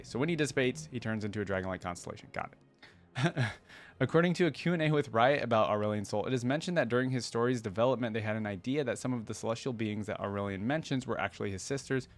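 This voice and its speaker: A man hosting a reaction video in an informal way, reading text aloud and thinking out loud about it. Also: medium pitch, quick pace. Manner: informal, thinking aloud between read passages. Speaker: reaction channel host.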